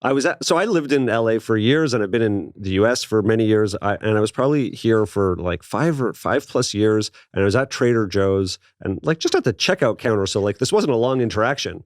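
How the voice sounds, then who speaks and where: A man from Canada co-hosts a relaxed podcast chat, his voice a little low. He sounds easygoing and curious, laughing readily between questions. The sound is dry and close on a studio mic. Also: a little fast, few pauses, no room sound. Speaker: podcast co-host. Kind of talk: casual interview chat.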